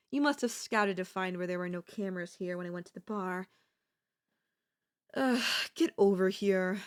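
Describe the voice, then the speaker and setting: A woman's voice: fairly high pitch, darkish tone. A woman playing an obsessive, scheming yandere in a theatrical, haughty manner with formal phrasing, in an ASMR audio roleplay. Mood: menacing, suspicious.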